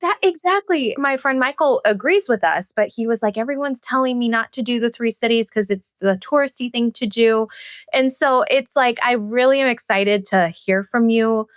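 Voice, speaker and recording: A woman's voice: a little high, moderate pace, dry recording. Woman hosting, dry close sound. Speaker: podcast host, interviewer. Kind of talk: casual conversational interviewing.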